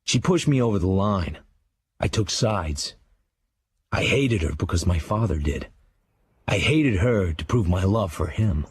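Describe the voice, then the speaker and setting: An actor playing a haunted, hard-bitten American writer, narrating a memoir in blunt, clipped sentences for a radio drama. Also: male voice, low voice, expressive, measured pace, husky, no room sound, exaggerated voice. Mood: hard-bitten, bleak.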